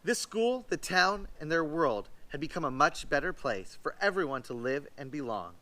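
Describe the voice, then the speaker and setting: A man reading a children's storybook aloud in an expressive, emphatic way. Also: on the high side, normal speed. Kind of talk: scripted storybook read-aloud.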